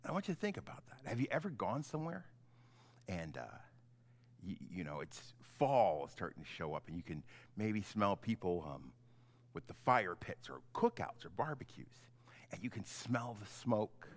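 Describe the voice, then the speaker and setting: A middle-aged man, a pastor, giving an informal, halting children's message in simple, conversational words. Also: on the low side, sing-song. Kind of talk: gentle children's sermon, informal storytelling.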